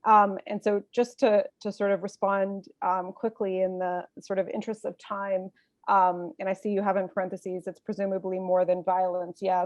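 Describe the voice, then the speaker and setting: A woman professor answers at length in a fairly even, level tone, circling through her points with steady hesitations. Her voice is close and dry, with no room sound. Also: medium pitch, no room sound. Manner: earnest, expansive, filler-laden. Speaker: panelist, professor. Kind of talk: academic panel answer.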